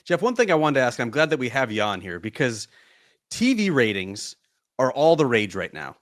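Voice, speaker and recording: A man's voice: medium pitch, sing-song, normal speed, no room sound, clean studio sound. A man co-hosting a golf podcast, chatting casually with wry, joking asides. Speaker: podcast host. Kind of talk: casual chat.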